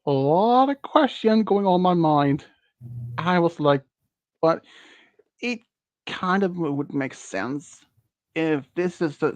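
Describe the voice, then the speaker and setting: A man in his early thirties, not a native English speaker, hosting a casual quiz on a stream and talking in a slow, halting way with many restarts. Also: fairly high pitch, dry recording, highs cut off. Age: early 30s. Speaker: quiz host.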